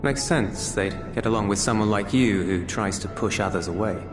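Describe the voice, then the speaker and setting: A man's voice: lowish voice, moderate pace, lightly acted. An actor playing a reserved, kindly soldierly man in a video game cutscene, speaking in a hesitant, earnest, conversational way. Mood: reflective. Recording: roomy echo with music underneath.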